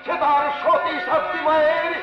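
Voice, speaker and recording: A man's voice: extremely high pitch, flat delivery. An actor playing a righteous brother defending his wronged sister, declaiming in Bengali folk theatre melodrama. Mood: indignant, defiant. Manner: declamatory, drawn-out emphatic phrases. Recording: echoey, dull stage recording, loud music.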